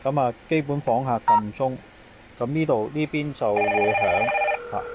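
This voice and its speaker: A man's voice: mid-range voice, a little slow. A man with a Hong Kong Cantonese accent explains a device setup informally as an installer, repeating his points as he goes. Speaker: intercom installer, technician. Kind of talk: informal product demonstration and handover explanation. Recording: clear background noise, on-site ambience.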